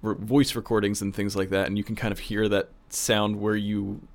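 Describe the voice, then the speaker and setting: A man's voice: lowish voice, flat delivery, moderate pace. A man talking casually on a podcast as a producer and co-host. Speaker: audio drama producer, co-host.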